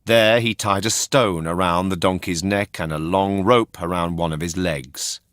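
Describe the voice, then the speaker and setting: A man reading a children's story as narrator, in a dramatic, expressive storytelling manner. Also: low voice, unhurried, no room sound, studio quality.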